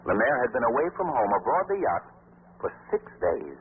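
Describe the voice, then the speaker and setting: An actor playing a self-assured amateur sleuth, speaking in a suave, measured, wry 1940s radio style. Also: male voice, mid-range voice, sing-song, lightly acted. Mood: assured, explaining. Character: self-assured adult male amateur sleuth, calmly explaining. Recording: crackly, narrow-band 1940s radio recording.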